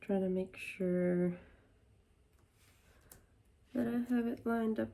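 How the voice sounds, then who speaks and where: A woman narrating her small business vlog, stuffy and congested, walking through her work in a soft, unhurried way. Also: medium pitch. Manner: slow, soft-spoken walkthrough.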